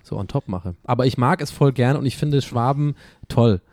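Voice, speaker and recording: A man's voice: mid-range voice, moderate pace, darkish tone, no room sound. Playful man chatting, close dry sound. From Germany. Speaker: show host answering listener questions. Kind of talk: casual joking group chat.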